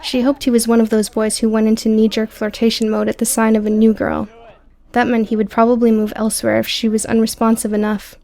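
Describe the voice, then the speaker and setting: A woman's voice: fairly high pitch, monotone, fairly quick, creaky, darkish tone. A woman reading scripted audio book narration as the narrator.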